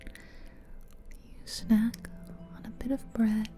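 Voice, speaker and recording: A woman doing a soft-spoken ASMR guided visualization in a breathy whisper, soothing, with pauses between short phrases, close to the mic. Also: fairly high pitch, little pitch movement. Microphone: close stereo ASMR microphone. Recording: close ear-to-ear mic, music behind.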